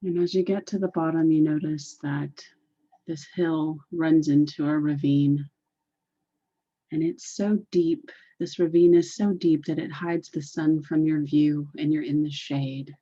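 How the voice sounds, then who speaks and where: A woman leading a guided meditation in a deliberate, soothing, repetitive way, on a dull, muffled recording. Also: sits low, fairly even intonation, warm tone.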